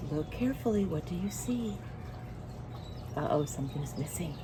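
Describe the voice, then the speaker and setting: A woman reading a picture book aloud to young children in an expressive way, engaging her listeners as she goes. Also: on the low side, noisy background. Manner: expressive, pauses to ask listeners questions. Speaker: children's storybook reader.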